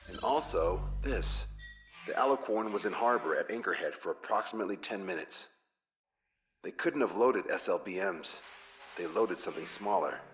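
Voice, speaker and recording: An actor playing a calm, methodical military intelligence analyst, briefing in a precise, matter-of-fact way. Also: male voice, deep voice, normal speed. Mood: analytical. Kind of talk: briefing in a game cutscene. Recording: music under the voice.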